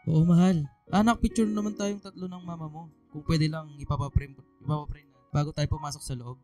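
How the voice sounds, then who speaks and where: A man with a Filipino accent playing a gentle, fond middle-aged father in a radio drama. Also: fairly high pitch, steady tone, fairly quick, soft background music, natural voice. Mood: fond, cheerful.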